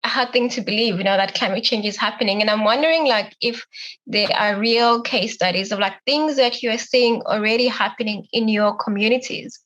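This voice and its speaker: A woman's voice: a little high, a little fast, fairly bright tone, low-quality recording. A young woman moderating an online panel in a casual way, full of likes and you-knows. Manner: casual, many fillers of like and you know. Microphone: video call.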